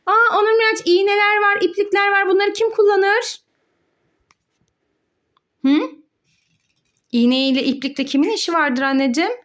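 A woman with a very high-pitched voice. She talks to young children as a mother leading an educational game. Her delivery is sing-song and playfully instructive, full of questions and exclamations. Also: normal speed, long pauses, a bit bright. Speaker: mother hosting a children's educational play video. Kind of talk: child-directed play narration with questions and answers.

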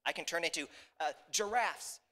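A man's voice: a little high, normal speed, bright, forceful tone, long reverb tail. Man putting on a boastful, menacing storybook ogre. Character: big, boastful, menacing male ogre, easily offended.

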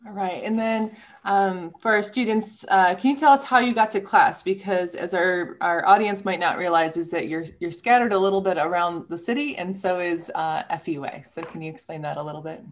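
A woman's voice: lowish voice, normal speed. A woman in her thirties moderating a study abroad panel on a video call, guiding questions in an organized, friendly way. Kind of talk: moderating a webinar.

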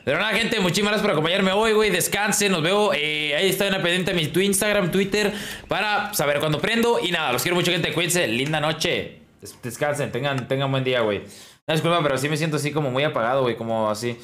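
Higher-voiced young Mexican man streaming. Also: quick pace, a bit bright. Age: 20s. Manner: slangy, informal. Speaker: video game streamer. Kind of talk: casual livestream chat. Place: indoors in a small room at a desk.

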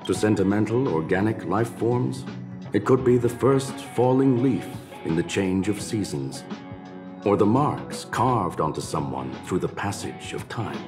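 A man playing a courteous, scholarly mechanical gentleman in a video game, speaking in a formal, measured and philosophical way. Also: lowish voice, lively intonation, over music. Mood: reflective, courteous. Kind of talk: scripted game dialogue.

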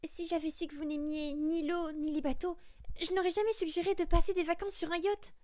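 A woman voicing a kind, concerned, gentle young female demon in a French fan comic dub. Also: very high voice, steady tone, brisk, no echo, studio quality.

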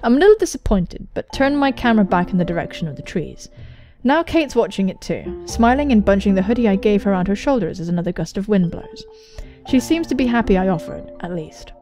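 A young woman hosting a let's play, reading a visual novel aloud and commenting on it with excitable, sweary exclamations. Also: medium pitch, a little fast. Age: young adult. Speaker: gaming video host. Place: indoor room at home, on webcam. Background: game soundtrack music.

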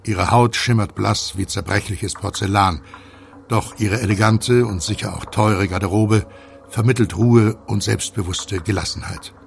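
A man reading scripted audio drama narration in a measured, suspenseful way. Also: fairly low pitch, steady tone, gravelly, a little hiss or hum.

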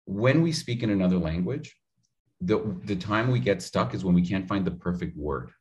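A man in his thirties giving public speaking advice on a video call, talking in an animated, direct way with lots of gestures, recorded on a studio mic at home. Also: sits low, normal speed. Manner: animated, gestures a lot, talks straight to the viewer. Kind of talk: energetic advice in an interview. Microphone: studio mic in frame on a video call.

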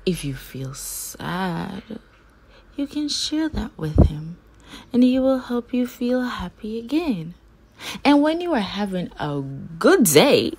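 A woman's voice: mid-range voice, darkish tone, slightly rough. A woman reading a children's religious picture book aloud, phrasing simply and carefully for young listeners. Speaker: read-aloud storyteller for children.

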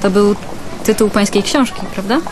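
A woman's voice: medium pitch, steady tone, moderate pace, close to an everyday voice. An actress playing a shy, polite young woman with a reserved, hesitant manner in a radio drama. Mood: wistful. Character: shy, polite young Japanese woman meeting old acquaintance. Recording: clear background ambience noise.

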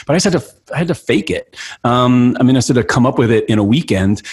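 Animated, husky-voiced actor telling stories. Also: male voice, medium pitch, fast talker. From New York City. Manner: animated storyteller, frequent restarts and gestures. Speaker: guest actor. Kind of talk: casual podcast chat. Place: home room. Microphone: studio mic with headphones, video call.

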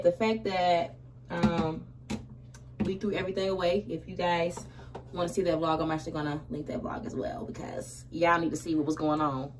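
A woman in her twenties with an American accent, chatting casually and slangily while getting ready in a small room. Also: fairly low pitch. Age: 20s. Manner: slangy, conversational. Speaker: vlogger. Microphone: camera a short distance away. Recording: roomy distant camera mic, faint noise.

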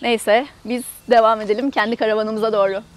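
Female travel vlogger, high voice, chatty and explanatory, casual walk-around tour delivered outdoors. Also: steady tone, a little fast, a little hiss or hum. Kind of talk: casual guided tour to camera. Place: outdoors in an open camper park lot.

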